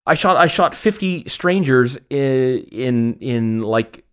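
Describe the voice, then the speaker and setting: A man's voice: medium pitch, animated, slow pace, clear, resonant tone. A man with a Canadian accent hosting a podcast, chatting in a casual, rambling way with a joking, self-mocking manner. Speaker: podcast host. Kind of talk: casual, rambling personal chat.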